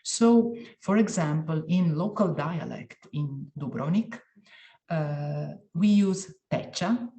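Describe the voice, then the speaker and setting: A woman in her forties from Croatia, a guest in a casual interview, who often pauses and hunts for words. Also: low-pitched, lively intonation, dark tone, roomy sound. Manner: searching for words, frequent fillers.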